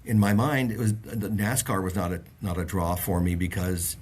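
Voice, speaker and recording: A man's voice: low-pitched, level tone, normal speed, a little mellow, slightly rough. A middle-aged man from the Bahamas, a guest on an interview show, telling long stories with lots of fillers and restarts. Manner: rambling, many fillers and restarts. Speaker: auto industry guest. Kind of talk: casual interview chat.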